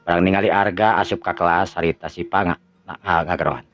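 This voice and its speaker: Low male Sundanese storyteller, slow and drawn out, lo-fi audio. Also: fairly even intonation, dry recording. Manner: slow drawn-out narration with stumbles. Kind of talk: Sundanese folk tale narration. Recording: dull lo-fi audio with faint music.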